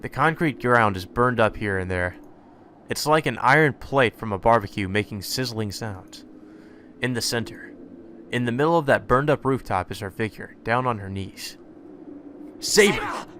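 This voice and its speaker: A man's voice: mid-range voice, moderate pace, a bit bright, a little hiss or hum, lightly acted. A man voicing an earnest young male hero, anxious and determined, narrating his own story in a visual novel. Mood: alarmed.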